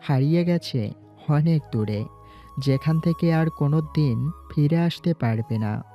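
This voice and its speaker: A man playing a calm, guarded young student in an audio drama, speaking politely and earnestly. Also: fairly high pitch, unhurried, dull audio, faint music behind. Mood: sad, sincere. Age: young adult. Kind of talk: Bengali audio story acting.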